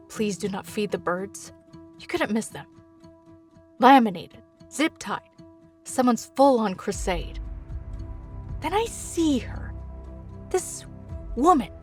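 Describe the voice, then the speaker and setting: A woman acting as a scared, bitter helpline caller in an audio drama, telling things in clipped, sardonic fragments. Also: mid-range voice. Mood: weary, deliberate.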